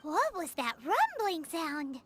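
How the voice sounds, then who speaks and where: Game casting: tiny bubbly fairy girl, extremely high and squeaky, swooping melody, unhurried, music behind. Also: big pitch swings, heavily acted. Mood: indignant. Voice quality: squeaky, childlike. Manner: speaks of herself in third person, bubbly. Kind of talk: animated video game voice acting. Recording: loud background music.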